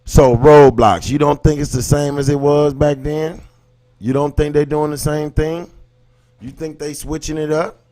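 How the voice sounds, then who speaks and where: A man's voice: on the high side, flat delivery, a little slow, dark tone. A man with an American accent hosting a livestream, giving impassioned, colloquial commentary and punctuating his points with rhetorical questions. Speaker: livestream host. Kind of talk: impassioned casual commentary, talking to live viewers.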